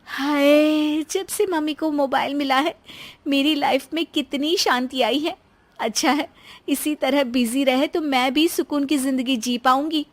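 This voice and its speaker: A woman playing a young housewife in an animated moral story, complaining in a dramatic, whiny manner. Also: high voice, measured pace. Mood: cheerful. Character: exasperated young Indian daughter-in-law, complaining housewife.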